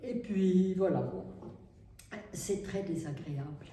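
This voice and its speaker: An older woman from France, a Carmelite nun, speaking in a halting, wry way with many restarts. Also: fairly low pitch, warm tone. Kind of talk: interview testimony. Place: nursery building in Syria. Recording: echoey room, distant mic, faint noise.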